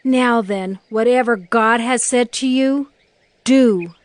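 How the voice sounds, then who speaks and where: An actress playing a firm, resolute wife in an audio Bible drama. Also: female voice, on the high side, takes it slowly, no echo.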